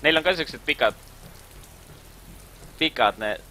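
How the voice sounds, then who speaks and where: Bright-voiced young man streaming a game. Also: on the high side, lively intonation, unhurried, slight background noise. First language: Estonian. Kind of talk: casual live-stream chat. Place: indoors at a computer, on webcam.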